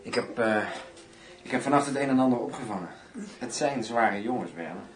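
An actor playing a sober, streetwise bodyguard with a blunt, matter-of-fact way of talking in a radio drama. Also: male voice, medium pitch, expressive, brisk, far from the mic, faint music behind, close to an everyday voice. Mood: confiding. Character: sober, streetwise male bodyguard and loyal undercover partner. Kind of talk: Dutch radio drama acting.

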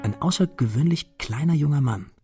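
An actor playing an adventurous young schoolboy hero, narrating his memories in a measured, literary radio drama style. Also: on the high side, lively intonation, natural voice. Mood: measured wonder. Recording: studio radio drama with music behind. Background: music.

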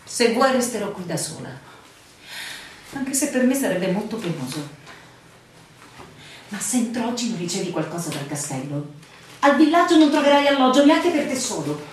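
An actress playing an anxious, devoted young fiancée in a radio drama, earnest and pleading in a theatrical way. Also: female voice, fairly high pitch, very animated, moderate pace, pauses often. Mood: resigned, urging. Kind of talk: Italian radio drama acting. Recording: very echoey, distant, faintly noisy recording.

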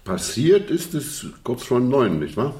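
An actor playing a gruff, insistent official with a gravelly voice in a German radio crime drama. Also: male voice, medium pitch, big pitch swings, a little slow, dark tone. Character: insistent, gruff official questioning others about a case.

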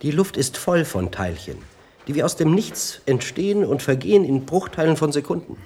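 Brooding physicist in a radio play, pretty high voice, swings around a lot as he lectures. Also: very animated, normal speed, dark tone, a little hiss or hum. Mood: lecturing. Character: intense, musing male physicist, lecturing and speculating. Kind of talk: German science-fiction radio drama acting.

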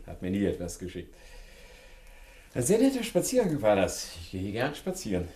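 A man's voice: on the low side, huge pitch swings, normal speed, mellow. An actor playing a confused, cheerful old father in a German radio drama, rambling and dismissive. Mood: cheerful, vague. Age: older.

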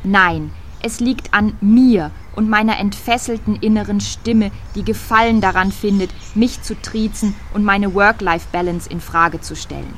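A woman's voice: mid-range voice, normal speed, few pauses, lightly acted. An actress playing a self-justifying single career woman in an audio drama, speaking in an articulate, theatrical way. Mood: defiant musing. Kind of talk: audio drama monologue and dialogue. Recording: echoey room, faint background noise.

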